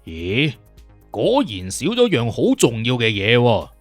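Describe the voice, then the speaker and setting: Man acting a cocky, animated Cantonese detective consultant. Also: mid-range voice, dry recording, soft background music. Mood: musing, investigating. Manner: self-assured, emphatic, deliberate lecturing. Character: witty, conceited male detective consultant explaining deductions. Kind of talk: audiobook character acting.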